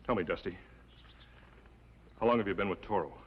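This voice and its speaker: A man's voice: low voice, brisk, husky. An actor playing an impatient, scheming American outlaw henchman in an old TV western, blunt, goading and bossy. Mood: sly, probing. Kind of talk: 1950s television western acting. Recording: dull, noisy old TV western soundtrack.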